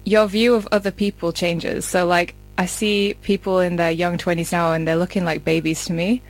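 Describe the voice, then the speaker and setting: A woman's voice: fairly low pitch, flat delivery, moderate pace, poor audio. A woman in her early thirties talking in a reassuring, casual conversational way. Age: early 30s. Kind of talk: casual chat.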